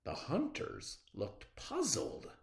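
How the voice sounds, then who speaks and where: Slow, wildly animated storybook reader. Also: mid-range voice, vocal fry, roomy sound. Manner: theatrical, expressive. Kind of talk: animated children's read-aloud narration.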